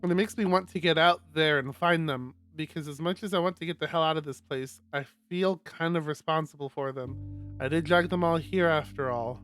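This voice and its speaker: A man's voice: a little high, fairly quick, fairly bright tone, smooth. A man streaming a visual novel, reading first-person fiction aloud. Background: guitar music.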